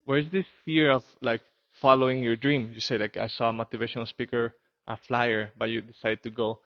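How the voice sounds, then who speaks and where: A man's voice: mid-range voice, steady tone, brisk, no room sound. Man, podcast host, earnest, eager, dry close sound, a bit muffled. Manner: earnest, enthusiastic, says hmm a lot. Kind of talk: casual interview. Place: home in Costa Rica.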